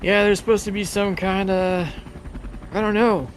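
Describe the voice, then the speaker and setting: A man's voice: high voice, fairly even intonation, moderate pace, slight background noise, voice pushed up, close to an everyday voice. A man voicing a casual, matter-of-fact helicopter pilot in a tabletop game, hedging as he shares what he knows.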